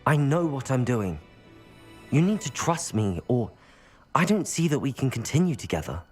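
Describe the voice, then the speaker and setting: A man's voice: mid-range voice, very animated, normal speed, a little mellow, husky, soft background music. A man acting as an earnest, level-headed teenage wizard student in a video game cutscene, speaking firmly and reasonably. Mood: stern, insistent.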